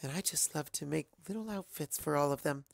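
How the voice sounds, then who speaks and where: Someone doing a quiet, timid pony girl. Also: mid-range voice, brisk, gravelly, no echo, studio quality, close to an everyday voice. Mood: gentle, kindly. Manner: soft-spoken, hesitant, sweet. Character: timid, gentle young female pony seamstress.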